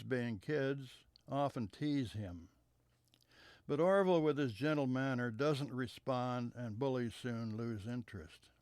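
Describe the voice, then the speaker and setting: Male storyteller, mid-pitched, smooth tone, dry close mic, unhurried reading that falls soft at line ends. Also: no echo. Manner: measured, trailing off softer at the ends of sentences. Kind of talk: reading a memoir story aloud.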